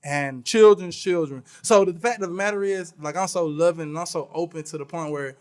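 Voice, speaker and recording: A young man who works as a teacher, talking in a slangy, animated, preachy way on a casual podcast. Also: a little high, fairly quick, clean tone.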